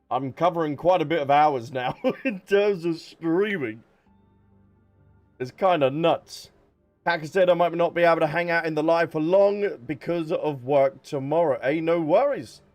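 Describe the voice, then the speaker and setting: A man with a fairly high voice streams live over background music. He talks at an unhurried, drawn-out pace, chatting informally with his viewers as the host. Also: a little mellow. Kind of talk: casual livestream chat with viewers.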